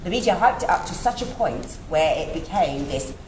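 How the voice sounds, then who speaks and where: A woman's voice: low-pitched, lively intonation, normal speed, a little mellow. A woman speaking emphatically and with passion as a panelist in a group discussion. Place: room at a youth forum event. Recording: echoey room with background noise.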